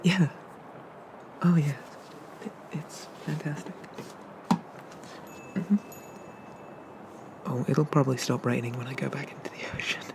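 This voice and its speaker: A performer playing a friendly, bashful monster barista in a soft, hesitant, gently chatty whispered ASMR roleplay. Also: medium pitch, unhurried, gravelly, long pauses, background noise. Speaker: coffee shop barista.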